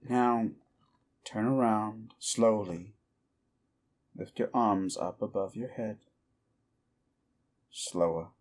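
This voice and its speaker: Deep, slow, paranoid yandere prince, soft menace and whispers; gravelly, animated delivery. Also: male voice. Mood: commanding. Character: unhinged possessive young prince, paranoid. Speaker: voice actor. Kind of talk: audio roleplay drama. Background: faint dark music.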